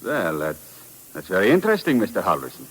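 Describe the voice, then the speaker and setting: An actor playing a calm police inspector in a 1950s radio drama, speaking politely, formally and deliberately. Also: male voice, deep voice, breathy edge, heavily acted, low-quality recording. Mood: polite suspicion.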